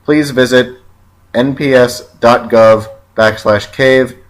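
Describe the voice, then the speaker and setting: A man narrating an educational film from a script in a measured, factual, read-aloud way. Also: mid-range voice, fairly even intonation, low-quality recording.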